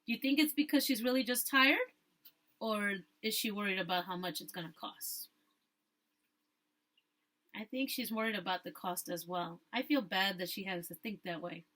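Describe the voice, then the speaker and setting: A woman teacher reading a storybook aloud to pupils and pausing to ask them questions. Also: mid-range voice, pushed and bright.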